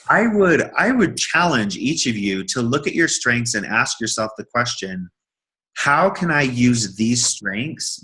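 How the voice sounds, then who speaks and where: A man's voice: medium pitch, sharp tone, low-quality recording. A man co-presenting a business training, talking casually and repeating words as he thinks aloud. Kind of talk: casual workshop talk.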